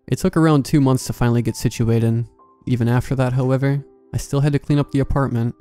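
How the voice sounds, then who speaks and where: A man reads horror stories in a measured, calm way, his voice dark and mellow at a middle pitch. Soft music plays faintly under his narration. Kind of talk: scripted horror story narration.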